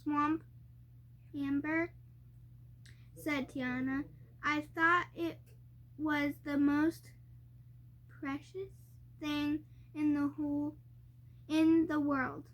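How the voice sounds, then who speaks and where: A young child reading a storybook aloud, haltingly and word by word, stumbling over harder words. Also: high voice, flat delivery, a bit bright.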